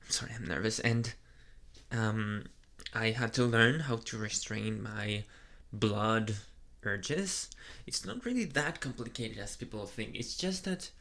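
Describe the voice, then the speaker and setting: A man voicing a shy, flustered young vampire boyfriend in a soft ASMR roleplay, hesitant and stammering with nervous energy. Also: fairly low pitch, a little slow. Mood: nervous, confiding. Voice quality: soft, breathy at times.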